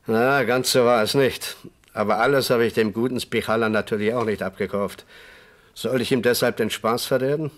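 A man's voice: medium pitch, steady tone, normal speed, close to an everyday voice. An actor playing a good-natured, talkative man reminiscing in conversation in a radio crime drama. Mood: eager telling. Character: chatty, good-natured male host reminiscing about an old regular.

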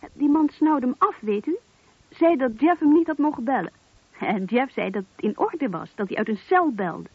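A woman's voice: high-pitched, expressive, normal speed, husky, dry recording, full character voice. A woman playing a nosy, gossipy witness in a radio drama, coy and defensive in manner. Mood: coy, confiding. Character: nosy, gossipy female witness feigning propriety. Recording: dull, muffled old radio drama recording.

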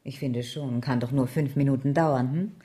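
An actress playing a composed, kindly woman with a gentle, measured, lightly wry manner in a 1970s German radio crime drama. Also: extremely low pitch, fairly even intonation. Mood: warm, playful.

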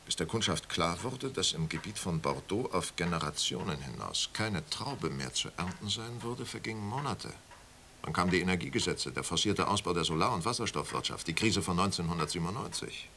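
A man's voice: low-pitched, expressive, fairly quick, sharp tone, slight background noise, exaggerated voice. An actor playing a smug male wine auction owner in a radio play, polished and self-assured like a salesman. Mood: suave.

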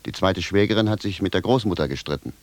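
An actor playing a simple, bewildered and stubborn village cobbler in a radio drama, speaking bluntly and with a sense of grievance. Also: male voice, low-pitched, fast talker, close to an everyday voice. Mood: baffled, grumpy. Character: plain-spoken, bewildered, stubborn village cobbler, grumbling indignantly. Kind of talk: theatrical radio drama dialogue.

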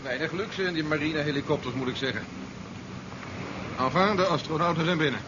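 An actor playing a curt, domineering general in a 1970s science fiction radio drama, brisk and impatient in his orders. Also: fairly high pitch, close to an everyday voice. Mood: insistent. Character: curt, domineering male general commanding a recovery operation. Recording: dull, noisy 1970s radio recording.

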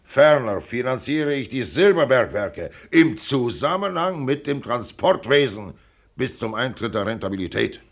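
Radio play: self-assured older millionaire, gravelly and blunt, clipped commands, swinging intonation. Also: male voice, medium pitch, big pitch swings, unhurried. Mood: commanding. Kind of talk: radio drama acting.

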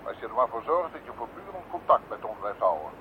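A man's voice: mid-range voice, sing-song, quick pace, lightly acted. A man playing a stubborn, authoritative police commissioner in a radio drama, curt and commanding. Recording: tinny narrow-band radio recording with faint hiss.

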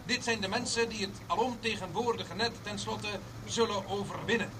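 A man acting a grandiose guide and barker in a radio play, his voice pitched high. He declaims his patter pompously, some way from the microphone, on a noisy recording. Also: normal speed, close to an everyday voice. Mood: showy, explanatory. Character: pompous, showy male tour guide and barker. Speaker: tour guide. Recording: noisy old radio recording, distant from mic.

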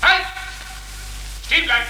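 A man's voice: a little slow, pushed and bright, faint music behind. A man playing a strict, curt French army sergeant in a 1940s German radio drama, barking in an official way. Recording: hissy 1940s radio recording.

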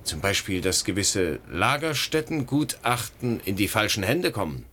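Radio play con man: suave and cocky, lively low delivery, dry irony. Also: normal speed, a little hiss or hum. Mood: suave, threatening. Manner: suave, self-assured, ironic. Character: cocky, smooth male con man chasing big money. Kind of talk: 1980 German radio drama acting.

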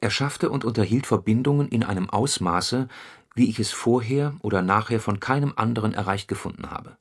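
Radio play monologue: an analytical political operative, lowish pitch, measured memoir delivery, sober throughout. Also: male voice, husky. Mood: sober, reflective. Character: sober communist functionary recollecting a comrade in secret work.

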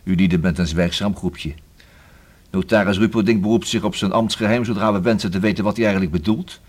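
A low-pitched police inspector in a Dutch radio drama. He is courteous and measured, with formal Netherlands Dutch, and he presses his questions persistently. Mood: polite, probing. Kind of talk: radio play acting.

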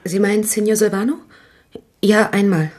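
A woman's voice: mid-range voice, fairly even intonation, normal speed, darkish tone, lightly acted. An actress playing a nervous, talkative woman shop owner in a radio crime drama, speaking hesitantly. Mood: hesitant, confiding. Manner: hesitant, gossipy, restarting sentences.

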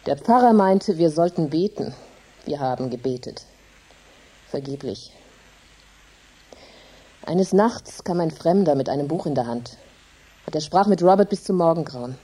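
A woman's voice: deep voice, expressive, unhurried, long pauses, slightly rough, exaggerated voice. An actress playing a grief-stricken, embittered poor farm widow, telling her story intensely and dramatically in a radio play. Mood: sorrowful, bitter. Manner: intense, dramatic, emphatic storytelling with hushed drops. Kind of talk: German radio drama monologue.

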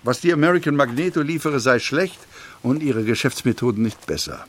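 A man plays an industrialist in an audio documentary, speaking at a medium pitch. He recollects his affairs formally and in measured phrases, with quiet satisfaction. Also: lively intonation, a little hiss or hum, close to an everyday voice. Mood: measured, satisfied. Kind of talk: first-person reading in a scripted audio documentary.

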